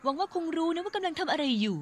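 A woman voicing a worried, sharp-tongued young female animal in a cartoon, doubtful and scolding. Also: very high-pitched, expressive, very fast, a little hiss or hum.

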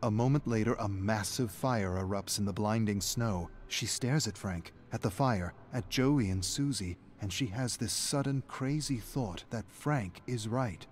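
A man reading a scripted story aloud as narrator, in a measured, dramatic storytelling manner. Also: on the low side.

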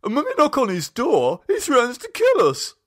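A man's voice: very high voice, wildly swooping pitch, normal speed, fairly bright tone, pitched above the performer's own voice, heavily acted. A man voicing an irate, indignant young male student neighbour with a British accent in an audiobook. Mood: indignant, agitated. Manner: indignant, exclamatory. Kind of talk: audiobook character dialogue.

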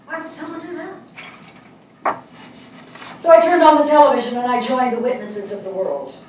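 A woman's voice: high-pitched, normal speed, darkish tone, natural voice. An older woman on stage acting a reflective, wry middle-aged journalist from New York in a dramatic monologue. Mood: wry, sober. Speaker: stage actress in a dramatic reading. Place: indoor small theatre stage. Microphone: far camera. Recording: echoey theatre, distant mic, faint noise.

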